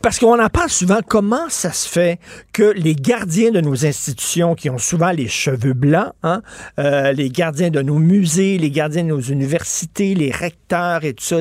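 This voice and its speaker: Teasing Québécois radio host. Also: male voice, on the high side, darkish tone, no room sound, clean studio sound. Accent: Québécois French. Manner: teasing, laughing. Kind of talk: casual radio chat.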